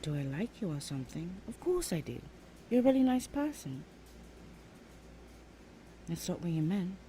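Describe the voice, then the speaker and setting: A young adult woman voicing a shy, sweet roommate in an ASMR audio roleplay, speaking softly and hesitantly with breathy whispered asides. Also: on the low side, very animated, moderate pace, slight background noise. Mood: eager, sweet. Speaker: voice actor.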